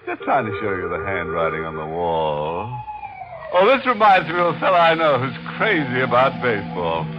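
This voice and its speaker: Male, ghoulish tongue-in-cheek host, 1940s radio, highly animated, unhurried, muffled recording. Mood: wry, macabre. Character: ghoulish, wry male horror host cracking morbid jokes. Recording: muffled old radio recording, music behind.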